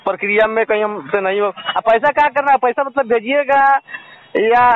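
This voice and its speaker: Young guy caller, unsure and asking lots, high pitch, thin phone audio. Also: steady tone, moderate pace. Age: young adult. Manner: hesitant, questioning. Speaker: caller selling an old coin. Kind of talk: casual phone conversation. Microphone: telephone. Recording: tinny, dry phone call recording.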